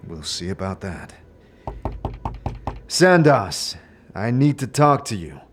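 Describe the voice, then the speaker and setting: A man playing a calm, direct wanderer who questions persistently in a fantasy audio drama. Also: on the low side, slow, a little hiss or hum. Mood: probing.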